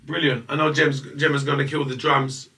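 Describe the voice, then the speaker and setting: A man in casual chat, animated and laughing, talking about his songs and band. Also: mid-range voice, a little fast, distant mic. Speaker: musician guest.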